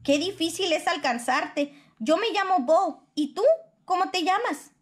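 Mujer haciendo de pequeño armadillo entusiasta, voz aguda. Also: female voice, very high-pitched, measured pace, voice pushed up. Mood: friendly, curious. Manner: exclamatory, calling out. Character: eager, friendly young male armadillo, adventurous and naive.

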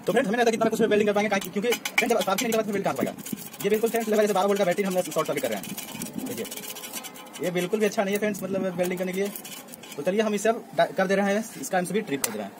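A man's voice: high-pitched, very fast. A young man, narrating a casual step-by-step home experiment and chatting to viewers as friends. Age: young adult. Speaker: DIY experiment vlogger. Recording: loud background noise outdoors.

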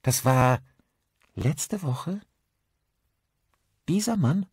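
A hospitable village woman as a male narrator plays her speaks in a raised voice with lively swings. She is a chatty, emphatic neighbour from an audiobook mystery. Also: fairly high pitch, extremely slow, slightly rough, no echo, studio quality, voice pushed up, exaggerated voice. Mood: puzzled.

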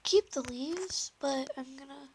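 A woman's voice: high-pitched, unhurried. A woman with a sore, scratchy throat, chatting through a game in a hesitant way with many ums, sometimes dropping to a whisper. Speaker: gameplay commentator. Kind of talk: casual game commentary. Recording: loud background hiss with tapping clicks.

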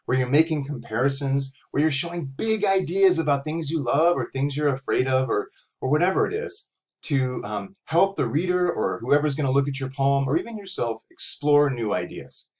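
A man's voice: mid-range voice, expressive, normal speed. A middle-aged man giving a casual, conversational writing lesson as an author and teacher, recorded indoors at a computer. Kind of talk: casual instructional talk to young writers. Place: small room at home, indoors.

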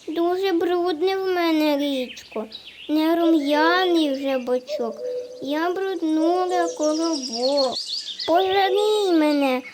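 A woman's voice: very high-pitched, noisy background. A woman voicing a cheerful little round bread bun in a cartoon, chanting rhymes in a sing-song way, with birds chirping behind. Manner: sing-song rhyming boasts.

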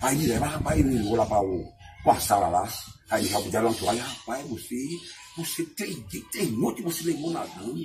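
A man with a Brazilian accent telling a comic anecdote in casual chat, excitable and repetitive, acting out sound effects and dialogue. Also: medium pitch, a little fast, music in the background. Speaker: comic storyteller.

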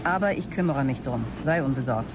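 An actress playing a brisk, stressed, commanding start-up boss in a German audio drama, dictating messages curtly. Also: female voice, extremely low pitch, lively intonation, background noise. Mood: firm. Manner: curt, businesslike dictation of messages.

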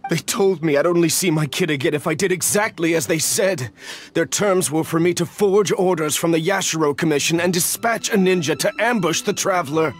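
A man's voice: on the high side, a little fast, faint music behind, lightly acted. An actor playing an anxious middle-aged official and fretful father in a video game, flustered, earnest and explanatory. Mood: pleading.

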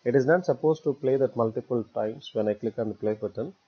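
A man narrating a step-by-step screencast tutorial as an instructor, phrasing haltingly in short, repetitive chunks. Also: mid-range voice, breathy edge. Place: voice-over for a screen recording. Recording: dull, lo-fi voice recording.

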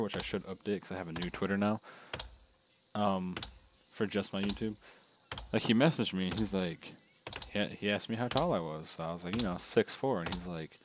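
A man's voice: low-pitched, noisy background. A young man chatting casually and telling rambling jokes while playing a game with a friend. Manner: joking, rambling storyteller. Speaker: gamer. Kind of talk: casual co-op gaming banter.

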